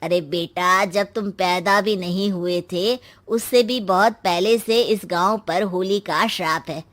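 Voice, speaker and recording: A woman's voice: lowish voice, measured pace, fairly bright tone, a little hiss or hum. A woman voicing evasive village men in cartoon story dialogue, with a casual, explanatory manner. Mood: grave. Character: evasive village men and elders brushing off questions.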